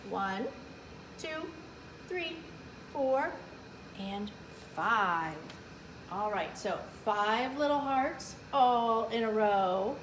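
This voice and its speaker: A woman hosting a storytime for small children, leading them in an encouraging, instructional way. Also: a little high. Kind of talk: leading preschool storytime with songs and counting rhymes. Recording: echoey room, clear background noise.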